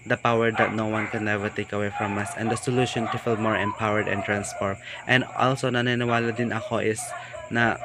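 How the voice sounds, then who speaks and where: A young man with a Filipino accent giving a halting class report, full of fillers and restarts. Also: medium pitch, bright, forceful tone, a little hiss or hum, poor audio. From Philippines. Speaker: student reporter. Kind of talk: class oral report, mixing English and Tagalog.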